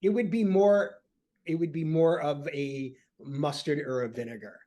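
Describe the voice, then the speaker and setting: Male guest from Michigan, fairly high voice, halting, filler-heavy casual chat. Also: dark tone. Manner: rambling, halting with many fillers.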